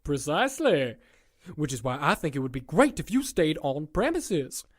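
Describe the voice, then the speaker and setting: A man's voice: a little high, big pitch swings, moderate pace, faint music behind. A man playing a smug, sleazy prison warden in his forties in an audio drama, with a glib, folksy, theatrical way of talking. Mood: smooth persuasion. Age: 40s. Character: smug, sleazy forty-year-old male prison warden, wheedling charmer.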